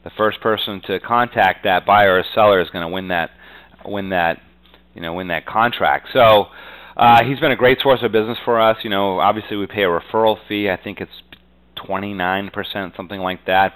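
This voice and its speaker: A man with a low, smooth and resonant voice answers as a guest in a business interview. He talks conversationally, like an agent explaining his trade, and leans heavily on "you know" as he goes. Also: a bit bright, rough recording.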